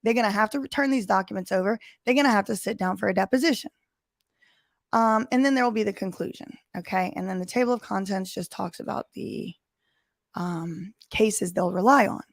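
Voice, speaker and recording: A woman lawyer with a hoarse, weakened voice, reading court papers aloud and explaining them emphatically in plain terms. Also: medium pitch, a little fast, no echo, studio quality. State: recovering from lost voice. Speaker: lawyer commentator. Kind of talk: reading and commenting on court documents.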